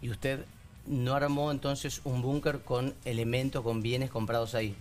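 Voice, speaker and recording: A man's voice: mid-range voice, level tone, a little slow, a little mellow, faint music behind. Probing Argentine TV interviewer, man. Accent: Argentine Spanish. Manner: probing, pointed questions, frequent "ujú" backchannels. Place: TV studio.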